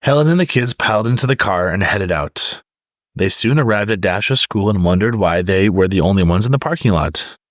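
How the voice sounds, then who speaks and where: Low-voiced man reading a children's story. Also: lively intonation, moderate pace, no echo, clean studio sound. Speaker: storybook reader. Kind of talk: children's story read-aloud.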